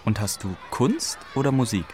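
A man playing a schoolboy friend in a scripted textbook dialogue, asking quick questions. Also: lowish voice, big pitch swings, darkish tone. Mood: curious.

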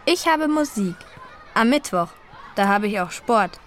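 A woman playing a schoolgirl in a scripted textbook dialogue, speaking slowly and clearly and complaining about her week. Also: medium pitch, animated, fairly bright tone, some chatter behind. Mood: grumbling. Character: teen girl fed up with her timetable. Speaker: student in a listening dialogue.

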